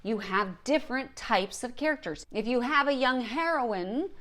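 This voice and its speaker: Higher-pitched woman teaching with emphasis. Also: animated, fairly bright tone. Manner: emphatic, deliberate listing of points. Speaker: writing coach and show host. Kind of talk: instructional talk to writers.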